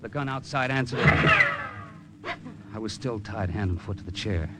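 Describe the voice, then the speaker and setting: A man's voice: fairly low pitch, normal speed, very noisy, lightly acted. A male actor playing a tough, cynical American hard-boiled hero and narrator in a 1940s radio drama. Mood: tense narrating. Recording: old radio recording with music behind.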